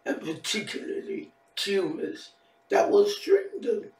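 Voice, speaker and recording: A hoarse woman in her fifties with a gravelly, creaky voice, talking slowly and lingering over each phrase. She rambles and repeats herself. Her voice swoops up and down, and it sounds distant in an echoey room. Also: on the high side. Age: 50s. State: hoarse, losing voice. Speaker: vlogger. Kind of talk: casual vlog monologue.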